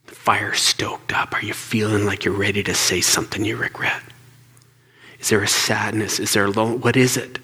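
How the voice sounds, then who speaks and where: Whispering man guiding a slow reflection. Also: lowish voice, husky. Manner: soft, slow, calm and coaching. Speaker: meditation guide. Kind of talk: guided reflection.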